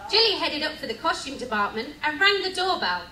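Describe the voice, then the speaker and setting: A woman's voice: sits high, sing-song, brisk. A woman giving scripted comic narration for an amateur live radio play, theatrical and playfully reading out stage directions. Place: public lounge on a cruise ship. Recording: echoey distant mic, crowd and noise.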